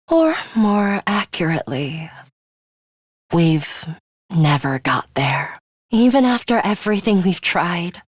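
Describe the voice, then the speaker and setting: Stern, slow-spoken, low-voiced young woman. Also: no echo, studio quality. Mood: wistful. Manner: curt, cool, deliberate, commanding. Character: stern, aloof, commanding young woman, a student fighter. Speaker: voice actress. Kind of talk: scripted video game character lines.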